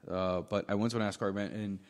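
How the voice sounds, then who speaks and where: Lowish-voiced American man, reverberant casual chat. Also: fairly even intonation, fast. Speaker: podcast co-host. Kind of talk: casual banter and opinion. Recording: echoey room with long reverb.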